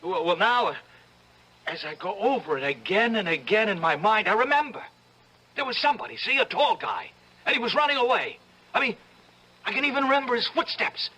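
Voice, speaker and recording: A desperate, rough-talking man accused of a crime in an old-time radio drama. His voice is fairly high and tense. He talks in slangy, insistent bursts and tends to stammer. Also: big pitch swings, fairly quick, bright, forceful tone, full character voice, rough recording. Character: nervous, desperate accused man, rough working-class talker.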